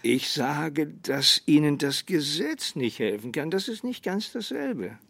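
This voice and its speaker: An actor playing a calm, resigned small-town sheriff in a radio drama, speaking in a dry, measured manner. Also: male voice, a little high, vocal fry, no room sound, full character voice. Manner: dry, measured, hesitant hums.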